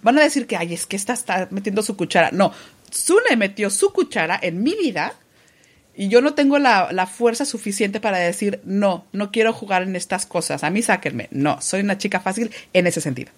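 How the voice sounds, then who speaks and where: A woman's voice: medium pitch, lively intonation, normal speed. A woman with a Mexican Spanish accent, a radio host chatting as a podcast guest in a playful, teasing way. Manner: playful, teasing, laughs often. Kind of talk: casual podcast chat.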